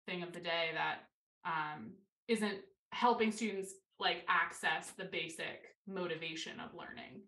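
Chatty low-voiced woman, roomy sound. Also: sharp tone. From Maryland. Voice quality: low voice with some vocal fry. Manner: chatty, many fillers and restarts. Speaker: guest, writer and teacher. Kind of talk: casual interview chat. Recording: roomy, somewhat far from mic.

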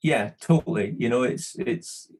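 A man's voice: medium pitch, measured pace, darkish tone, husky. A middle-aged man with a Scottish accent, a podcast guest chatting casually and rambling with many restarts. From London. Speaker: podcast guest, studio manager. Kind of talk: casual interview chat.

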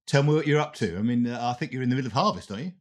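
60s guy hosting a podcast, talks quick, husky, fires off questions. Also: medium pitch, animated. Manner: brisk, chatty, with quick follow-up questions. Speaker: podcast host, interviewer. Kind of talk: podcast interview.

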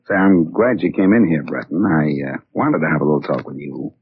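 An actor playing a scheming, sarcastic American racketeer in 1940s radio crime drama style, with a husky voice. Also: male voice, very deep voice, a little fast, a little hiss or hum. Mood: menacing. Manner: sneering, sarcastic tough-guy talk. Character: scheming male racketeer, menacing, sarcastic, cocky. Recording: dull old radio recording.

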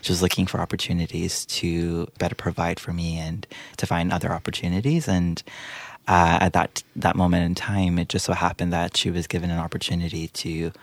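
A man's voice: sits low, little pitch movement, fairly quick, no echo. A young man from Trinidad with no accent, speaking as a guest in a reflective personal interview, thoughtful and hesitant with frequent restarts.

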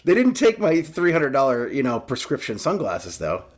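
A man, co-hosting a casual podcast, animated, with lots of fillers and restarts. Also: moderate pace. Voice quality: loud booming voice. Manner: animated, talks with hands, frequent fillers and restarts. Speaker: podcast co-host, union chief steward.

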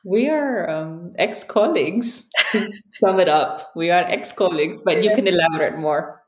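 A woman's voice: fairly low pitch, normal speed. Chatty woman in her thirties, roomy echo. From born in Ethiopia, raised in several countries. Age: 30s. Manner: laughs often, chatty and self-teasing. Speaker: podcast co-host. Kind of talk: casual podcast chat.

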